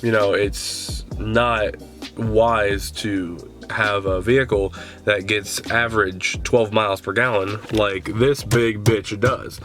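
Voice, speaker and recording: A young man with a stuffy, congested voice talks on the low side. He rambles in a loose, casual way and drops the odd swear word. Faint music plays under his voice. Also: fairly even intonation, takes it slowly, fairly bright tone. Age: young adult. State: stuffed up from a cold. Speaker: car vlogger. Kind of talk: casual vlog walkaround. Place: outdoors in a driveway by a truck.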